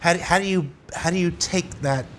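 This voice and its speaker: A man working as an interviewer, asking unscripted questions in a deliberate way and rephrasing as he goes. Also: sits high, fairly bright tone, a little hiss or hum.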